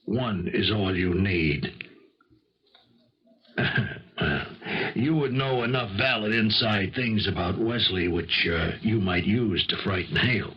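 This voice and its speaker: Wry, low-voiced police lieutenant, muffled recording. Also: male voice, slow, husky. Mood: slyly probing. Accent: American. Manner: folksy, wry, leading questions. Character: shrewd, insinuating male police lieutenant spinning a theory. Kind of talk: 1970s American radio mystery acting. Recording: muffled, hissy 1970s radio recording.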